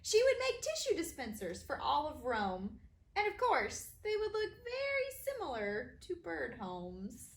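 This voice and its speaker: A woman teacher reading children's stories aloud in an expressive, sing-song way for young listeners. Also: high voice, measured pace. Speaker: kindergarten teacher reading her own stories. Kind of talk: animated children's storybook reading. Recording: roomy echo, faint background noise.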